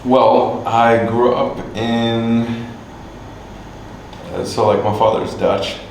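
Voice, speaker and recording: A man from Canada answering interview questions casually, halting with many fillers and restarts. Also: medium pitch, little pitch movement, darkish tone. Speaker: musician and agency owner. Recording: distant mic, echoey room, faint hum.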